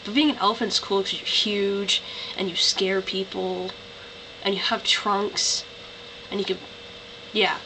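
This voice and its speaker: A woman's voice: medium pitch, steady tone, a little slow. A tired young woman with a Canadian accent, rambling through an accent-tag vlog with lots of "like" and "um". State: tired, voice lower than usual. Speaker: vlogger. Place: bedroom. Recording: noisy home webcam recording.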